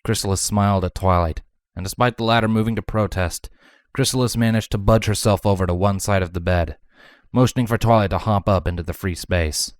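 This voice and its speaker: A man's voice: lowish voice, fairly quick, no echo, clean studio sound. A man reading a story aloud as scripted narration. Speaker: fan fiction narrator.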